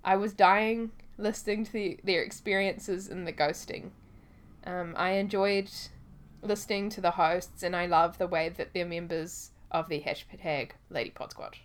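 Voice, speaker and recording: A woman's voice: on the low side, steady tone, measured pace. A woman co-hosting a podcast in casual chat, laughing often and acting out what people say. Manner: giggly, acts out quoted voices. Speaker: podcast co-host.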